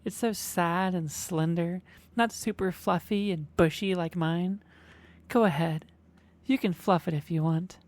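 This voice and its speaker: A woman's voice: lowish voice, unhurried, darkish tone, lightly acted. A woman playing an obsessive, boastful kitsune fox girl in an ASMR roleplay, cajoling and dramatic. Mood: smug, coaxing.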